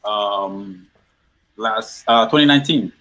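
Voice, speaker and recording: Relaxed middle-aged guy from guyana, chatting on a podcast, lots of ums and repeats. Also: lowish voice, slight background noise, rough recording. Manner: laid-back, repeats himself, halting with fillers. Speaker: guest hip hop artist. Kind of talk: casual interview chat. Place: podcast studio.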